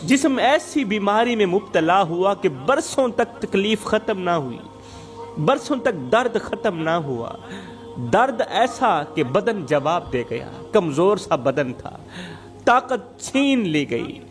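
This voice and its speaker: High-pitched, dramatic male preacher over music. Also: sing-song, slow pace. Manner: dramatic, rhetorical, repeats phrases for emphasis. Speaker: Islamic preacher and storyteller. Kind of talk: emotive Urdu religious sermon with moral storytelling. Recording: background music under the voice.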